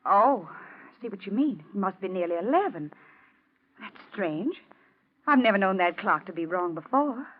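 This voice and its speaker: An actress playing a poised, enigmatic young housekeeper with genteel speech in a 1940s radio drama. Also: female voice, mid-range voice, expressive, natural voice. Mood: composed, enigmatic. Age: young adult. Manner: genteel, measured, theatrical. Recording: muffled, hissy 1940s radio recording.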